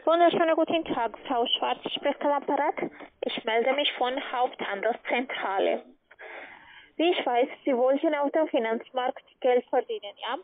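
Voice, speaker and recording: A woman speaking non-native German, giving a scripted cold-call sales pitch. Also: moderate pace. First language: not German. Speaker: cold caller selling trading platforms. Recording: muffled lo-fi phone line.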